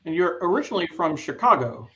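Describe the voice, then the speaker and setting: A man hosting a casual live interview show, speaking in a conversational, halting way. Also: sits high, very animated, bright, forceful tone, muffled recording.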